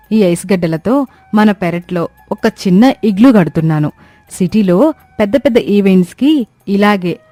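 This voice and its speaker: A woman's voice: medium pitch, darkish tone, no room sound, natural voice. A woman voicing a clever, composed young daughter-in-law in a cartoon story, explaining things in a reassuring way. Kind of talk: Telugu cartoon story acting.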